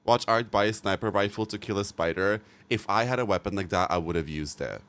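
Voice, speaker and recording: Male streamer, lowish pitch, loose animated chat with his viewers, cursing and laughing freely. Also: a little fast. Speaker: live streamer. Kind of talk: casual chat with live viewers.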